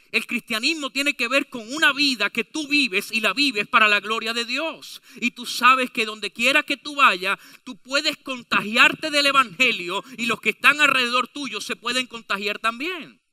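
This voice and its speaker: A man's voice: extremely high pitch, pushed and bright, no echo, studio quality. A man with a Latin American Spanish accent preaching a sermon emphatically, with rhetorical questions and restatements.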